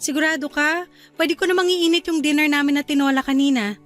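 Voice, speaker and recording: An actress playing a gentle, caring young wife in a Filipino radio drama, speaking softly and intimately with a Filipino accent. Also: female voice, sits high, steady tone, a little fast, faint music behind. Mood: tender, caring.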